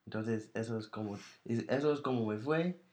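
American guy chatting in his learned spanish, lots of restarts and little laughs, mid pitch. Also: warm tone. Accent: American English. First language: English. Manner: halting, restarts often, laughs between phrases. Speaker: podcast co-host. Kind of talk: casual conversational interview.

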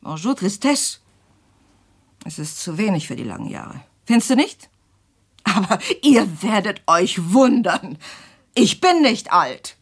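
A middle-aged mother in a radio drama, genteel and easily wounded. Her pitch swings widely and her voice has a slightly husky edge. She speaks theatrically, shifting between coy hesitation and agitation. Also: big pitch swings, a little slow, pauses often, exaggerated voice. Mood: agitated, bitter. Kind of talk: German radio play acting.

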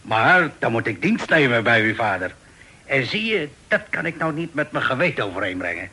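A captive sea captain in a radio play, with a husky, bright voice. He is pious and stubborn, speaking in a folksy, wry storyteller's manner. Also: medium pitch, sing-song, moderate pace, heavily acted. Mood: gentle, resigned. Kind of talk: Dutch radio drama acting.

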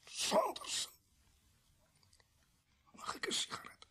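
An actor in a radio play playing a gravely wounded, bitter criminal who gasps weakly in halting, broken phrases. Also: male voice, sharp tone. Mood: weak, resigned. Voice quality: breathy, husky whisper. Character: dying, bitter male criminal protesting his innocence of murder.

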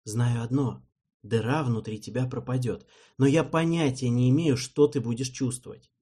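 A man voicing a calm, patient young male king who speaks quietly and slowly. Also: medium pitch, slightly rough, lightly acted. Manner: quiet, soothing, measured.

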